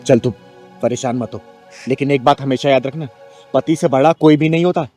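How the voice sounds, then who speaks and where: A man's voice: medium pitch, normal speed, dark tone, faint music behind, close to an everyday voice. A man playing a fierce, protective kinnar elder sister who scolds in blunt, threatening, preachy street talk in a short drama film. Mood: firm advice.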